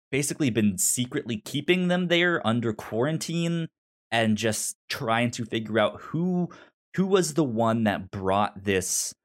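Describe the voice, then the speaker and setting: A man talking casually as a podcast co-host, recapping a story with frequent stammers and repeated false starts. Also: lowish voice. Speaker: podcast co-host discussing an audio drama.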